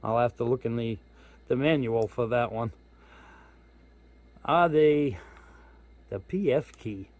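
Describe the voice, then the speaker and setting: A man's voice: mid-range voice, slow pace, pauses often, darkish tone. A man giving a casual hands-on product walkthrough as a hobbyist reviewer, informal and thinking aloud as he goes. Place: indoors at home.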